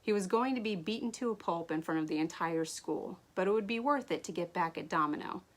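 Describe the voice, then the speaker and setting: A woman author reading her own story aloud in an animated way, now and then stumbling and rereading. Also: on the low side, fairly quick. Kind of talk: expressive read-aloud storytelling.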